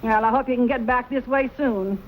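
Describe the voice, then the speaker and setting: Woman as a high-voiced, stern frontier mother. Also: lightly acted. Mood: firm, proper. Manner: brisk, reproving, bossy. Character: stern, scolding frontier mother of grown sons. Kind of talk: mid-century Western drama acting. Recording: muffled, lightly noisy old broadcast recording.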